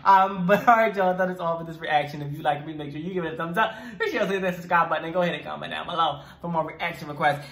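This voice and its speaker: A man's voice: fairly high pitch, quick pace, creaky, hardly any pauses, fairly bright tone. A man in his twenties hosting a reaction video, chatting casually and effusively, repeating himself for emphasis. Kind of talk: casual enthusiastic review chat. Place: small room indoors. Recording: echoey room.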